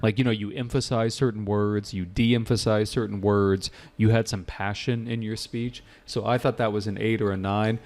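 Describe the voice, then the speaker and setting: A lower-voiced American man coaches a student, talking loosely and circling back to restate his point. He sounds like a teacher on a video call. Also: steady tone, moderate pace, hardly any pauses, darkish tone. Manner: chatty, repeats himself to make a point. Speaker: IELTS teacher. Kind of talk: giving spoken feedback in an online class.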